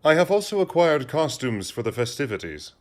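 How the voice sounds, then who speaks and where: An actor playing a mysterious aristocratic count, courteous and aloof, with formal speech, in an audio drama. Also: male voice, medium pitch, very animated, close to an everyday voice. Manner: formal, measured wording.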